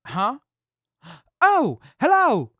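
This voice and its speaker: A man playing an oddly forthcoming former slave prisoner in a post-apocalyptic radio drama, with deliberate, theatrical delivery. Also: extremely high pitch, huge pitch swings, a bit bright, dry recording, clean studio sound, heavily acted. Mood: eager, confessional.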